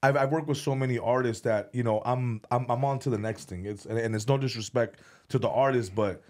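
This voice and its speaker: A man speaking at an everyday mid pitch in a loose podcast chat. He rambles, stumbles over repeated words and restarts, and swears often as he tells his story. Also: a little fast, darkish tone. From United States. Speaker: comedian and music video director, podcast guest.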